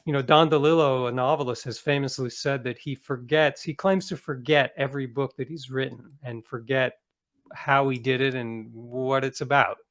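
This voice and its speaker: A man's voice: medium pitch, steady tone, normal speed, a bit bright. A middle-aged man speaking as a guest author in a conversational interview, reflective and given to acting out bits of imagined dialogue.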